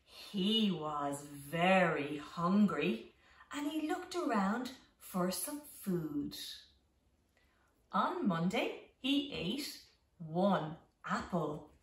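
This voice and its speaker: Very slow, animated woman reading to children. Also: lowish voice. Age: middle-aged. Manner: very slow, simple, deliberate phrasing with expressive emphasis. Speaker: home teacher reading a picture book to young children. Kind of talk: children's storytime read-aloud with signing. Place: small living room at home, indoors. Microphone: camera a few metres away. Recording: roomy echo, distant microphone.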